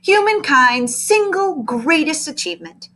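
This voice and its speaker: Woman acting out smitten storybook librarian, breathy, gushing, flustered, pitched up from everyday talk. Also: sing-song, measured pace, pushed and bright, heavily acted. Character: smitten, flustered female school librarian, gushing over books.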